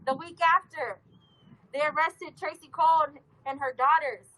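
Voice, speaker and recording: Woman from california speaking at a rally, high bright voice, urgent and earnest. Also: steady tone, normal speed, poor audio. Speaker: activist, victim's sister. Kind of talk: rally speech.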